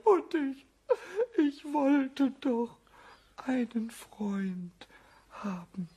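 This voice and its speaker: An actor playing a small, impish, hot-tempered kobold in a radio play, childlike and stammering, with a squeaky, raspy voice. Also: very slow, heavily acted. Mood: tearful, sulky. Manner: excitable, stammering, repeats words, childishly defiant. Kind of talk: German radio play acting.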